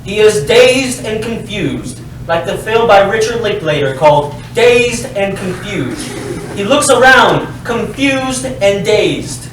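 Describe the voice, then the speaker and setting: Male narrator for a staged reading, unhurried, slightly high, in a very reverberant hall. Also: lively intonation, a bit bright. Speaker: stage directions reader. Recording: very echoey hall, distant mic, faint noise.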